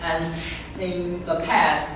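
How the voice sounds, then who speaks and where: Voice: mid-range voice, flat delivery, drawn-out words. Echoey distant speaker. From Taiwan. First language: Taiwanese Hokkien. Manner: earnest, poses questions to the audience. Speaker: club speaker. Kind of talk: prepared speech to a live audience. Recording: very echoey room from a distance, noisy.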